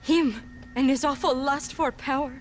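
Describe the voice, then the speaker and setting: A woman's voice: high voice, fairly even intonation, normal speed, soft background music, close to an everyday voice. An actress playing a fervent, fearful Mexican townswoman who speaks ominously, in a video game. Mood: urgent, evasive. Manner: fervent, dramatic. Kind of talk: video game cutscene acting.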